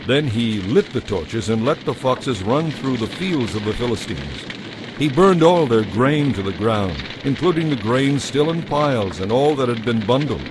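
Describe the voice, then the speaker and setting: Casting: male narrator for dramatized scripture, mid-pitched, measured and solemn scripted storytelling. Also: big pitch swings, noisy background.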